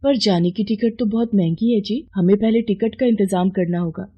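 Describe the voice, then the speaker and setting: A woman voicing a humble, devoted village wife in a moral story, gentle and pleading. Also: mid-range voice, moderate pace, slightly rough, dull audio, natural voice. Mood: worried. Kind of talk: Hindi moral story voice acting.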